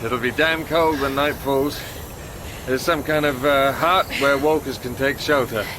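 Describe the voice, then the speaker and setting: A man's voice: medium pitch, steady tone, unhurried, background noise, natural voice. An actor playing a guarded, self-absorbed, conflicted young lover in a radio drama, hesitant and confessional as he narrates and talks. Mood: wary. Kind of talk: radio drama acting with first-person narration.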